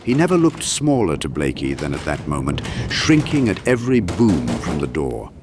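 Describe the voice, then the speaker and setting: A man's voice: a bass voice, huge pitch swings, moderate pace, noisy background. A man reading dramatic scripted horror narration as an audiobook narrator.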